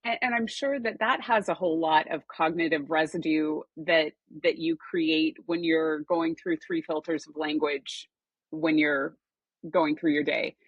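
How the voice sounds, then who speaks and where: A woman hosts a casual podcast with a lower, husky voice. She encourages her guest and circles back on her words as she thinks. The recording is dry and close. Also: fairly even intonation, measured pace, dry recording, highs cut off. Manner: warm, affirming, repeats words as she thinks. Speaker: podcast host.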